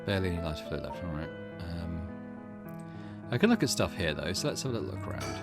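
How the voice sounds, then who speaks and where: A man with a fairly low voice hosts a gaming let's play. He moves between reading on-screen text aloud and casual, chatty commentary, thinking out loud. Faint music plays behind him. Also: animated, fast. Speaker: gaming channel host. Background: game music.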